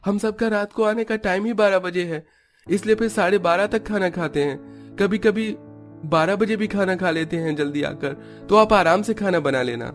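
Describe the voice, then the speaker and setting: Very high-pitched, reassuring young man, acted by a man. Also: normal speed, soft background music. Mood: explaining. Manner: placating, explanatory.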